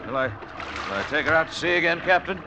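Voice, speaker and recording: A man's voice: fairly high pitch, animated, a little fast. Dutiful seaman, noisy sea behind. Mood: grave, urgent. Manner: plain, deferential to his captain. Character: dutiful, steady seaman rowing a lifeboat, Billy. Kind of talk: 1940s-50s radio drama acting. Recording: old radio recording, clear sea noise.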